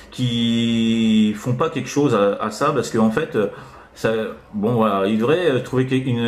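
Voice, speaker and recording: A man chatting casually as an amateur radio operator, hesitant and rambling, often tagging on "hein". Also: mid-range voice. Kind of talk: casual radio contact chat. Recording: roomy, echoing room.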